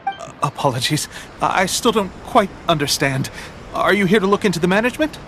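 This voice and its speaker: A man's voice: high voice, animated, a little fast, fairly bright tone, a little hiss or hum. A man voicing a flustered, deferential publishing house clerk in a video game, stammering nervously over background music. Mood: nervous, puzzled. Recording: clear music behind the voice.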